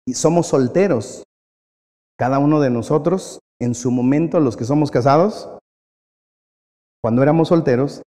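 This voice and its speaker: A man's voice: on the high side, dark tone. Man preaching in an echoing hall. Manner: conversational preaching with repeated phrases. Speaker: pastor. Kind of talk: sermon. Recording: long hall reverb.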